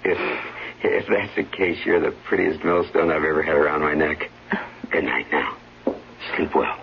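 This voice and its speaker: Deep-voiced placating husband, vintage radio. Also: male voice, normal speed, vocal fry. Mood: tender. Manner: soothing endearments, evasive. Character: smooth-talking, placating husband with a short temper. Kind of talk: 1970s radio drama acting. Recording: dull vintage radio broadcast, faint noise.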